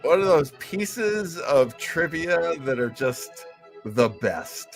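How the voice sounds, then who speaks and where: A man's voice: fairly high pitch, big pitch swings, slow, faint music behind. A man hosting a casual chat about old-time radio, an enthusiastic and chatty explainer. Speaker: host.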